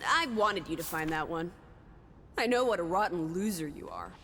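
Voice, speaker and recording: Lively, cheeky young 1920s companion. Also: female voice, lowish voice, normal speed, long reverb tail, slight background noise. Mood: playful, teasing. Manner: playful, teasing, old-fashioned slang. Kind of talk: video game drama acting.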